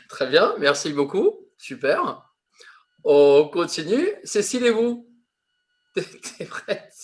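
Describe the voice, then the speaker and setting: Male improv coach, unhurried delivery, jokey and full of fillers, heard over a video call. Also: fairly high pitch, big pitch swings. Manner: slow, laughing, filler-heavy teasing. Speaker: improv teacher. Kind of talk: coaching a teen improv class.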